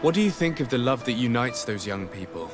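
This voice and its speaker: An actor playing a courteous, composed gentleman adventurer in a fantasy video game, with polite, restrained, formal speech. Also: medium pitch, expressive, fairly quick, music in the background, natural voice. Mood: gentle, interested. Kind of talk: video game dialogue acting.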